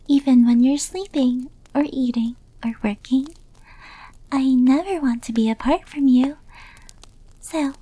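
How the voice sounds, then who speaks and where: A high, mellow voice from a woman acting as a shyly obsessive young maid girlfriend in an ASMR roleplay. She speaks softly, often dropping to a whisper, pleading and utterly devoted. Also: breathy edge, no echo, low-quality recording. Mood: adoring, pleading.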